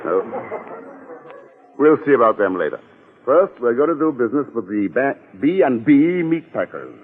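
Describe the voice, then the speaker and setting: An actor playing a suave, wry and persuasive secret agent in a 1940s radio drama. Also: male voice, mid-range voice, sing-song, normal speed, breathy edge. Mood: brisk, confident. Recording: muffled, narrow-band old radio recording, reverberant.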